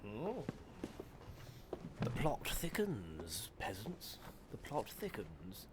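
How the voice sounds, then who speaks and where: A man's voice: fairly low pitch, huge pitch swings, extremely slow, noisy background. A man giving casual, playful, sweary and theatrical reaction commentary as he streams game cutscenes. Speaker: streamer, gaming host.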